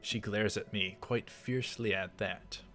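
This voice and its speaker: A man's voice: lowish voice, steady tone, a little slow, fairly bright tone. A man reading a visual novel aloud as a let's player, dramatizing the narration. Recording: music behind the voice. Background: game music.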